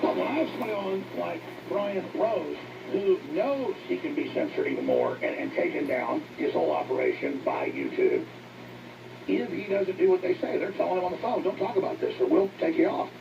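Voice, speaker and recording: A man hosting a talk show, delivering impassioned, exhorting political monologues in a gravelly voice. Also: high voice, lively intonation, a little fast, distant mic. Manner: fervent, exhorting. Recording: noisy, dull radio playback picked up from afar.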